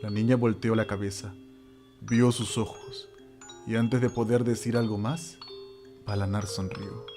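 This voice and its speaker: A man narrating a horror radio drama from a script, theatrical and suspenseful, with dramatic pauses. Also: fairly low pitch, level tone, music in the background.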